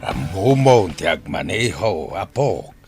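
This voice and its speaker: Man as old grandfather, deep, slow, measured, Ilocano accent, radio drama. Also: sing-song, music in the background, heavily acted. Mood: advising.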